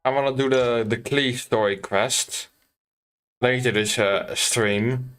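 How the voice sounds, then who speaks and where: A man giving casual live gameplay commentary as a streamer, halting and thinking aloud. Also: medium pitch, steady tone, fairly bright tone. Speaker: game streamer. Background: faint game music.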